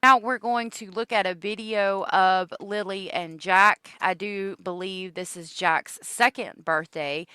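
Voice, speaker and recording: A woman's voice: medium pitch, steady tone, a little slow, few pauses, bright, forceful tone, smooth, dry recording. A woman hosting a true-crime video, giving informal commentary on a case.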